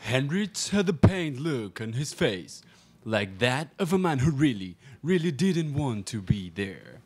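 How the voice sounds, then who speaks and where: A man's voice: mid-range voice, sing-song, a little slow, a little hiss or hum. A young man giving scripted storytelling narration for a live radio theatre piece. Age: young adult. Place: small stage, indoors. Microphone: stage microphone. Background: acoustic guitar.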